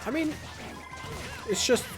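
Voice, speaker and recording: A man hosting a let's play with casual, rambling commentary, thinking aloud as he plays. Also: slow pace. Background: faint video game music.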